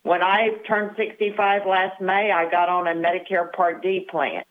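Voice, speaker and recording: A woman's voice: fairly low pitch, steady tone, normal speed. A woman in her sixties, a Medicare advisor, explaining things matter-of-factly as a guest on the show. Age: 60s. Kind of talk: phone-in interview. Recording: tinny phone line.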